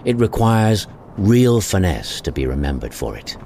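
A man with a very deep voice narrates an audio drama in a lively, swooping delivery. He tells it wryly, as scripted storytelling, over clear background noise. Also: a little slow. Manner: wry storytelling. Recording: clearly noisy ambient bed behind voice.

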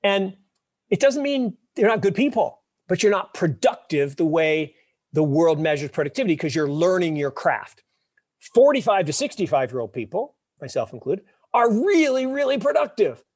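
A man in his fifties, a podcast guest, telling wry stories and explaining ideas with emphatic, animated delivery. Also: sits high, moderate pace, no echo, clean studio sound. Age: 50s. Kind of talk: casual interview talk.

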